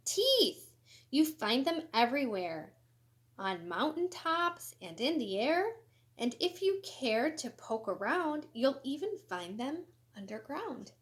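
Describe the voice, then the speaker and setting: A woman reading a children's picture book aloud in an expressive, sing-song way, with short asides to young listeners. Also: sits high. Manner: expressive, sing-song, deliberate storybook delivery. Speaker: elementary school educator reading aloud.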